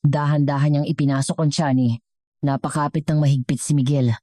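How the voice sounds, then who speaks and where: A woman's voice: low voice, little pitch movement, a little fast, mellow, no room sound, clean studio sound. A woman with a Filipino accent narrating a scripted dramatic story.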